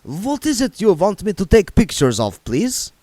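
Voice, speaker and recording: A man's voice: high voice, wildly swooping pitch, normal speed, dark tone, no room sound, pitched above the performer's own voice, exaggerated voice. A man voicing a nervous, thin male vampire photographer who speaks in an anxious, overly polite way. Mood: earnest.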